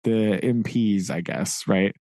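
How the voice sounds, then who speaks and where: A man's voice: on the low side, slow, a little mellow, dry recording, clean studio sound. A man co-hosting a podcast, casually retelling a novel's events with frequent "right?" checks and occasional laughs. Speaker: podcast co-host. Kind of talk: casual book discussion.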